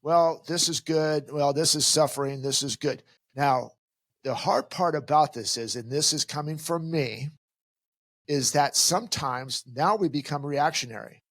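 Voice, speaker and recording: A man's voice: a little high, measured pace, fairly bright tone, dry recording. A middle-aged man co-hosting a podcast, giving advice in an emphatic way and acting out the inner voices he quotes. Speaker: podcast co-host. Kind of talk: casual advice chat.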